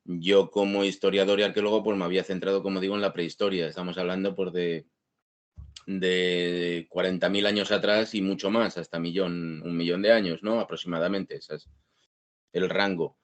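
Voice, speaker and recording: A man from Spain with a Castilian accent, explaining as an interview guest in a discursive, halting way, repeating words as he thinks. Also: sits low, fairly even intonation. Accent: Spanish (Spain). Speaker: guest author, historian and archaeologist.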